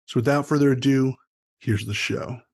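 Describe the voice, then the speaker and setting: An upbeat man works as a podcast host, moving between show introductions and casual interview talk. His voice sits at a middling pitch, and his delivery is promotional and friendly in wording. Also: steady tone, normal speed, gravelly, a little mellow, slightly rough.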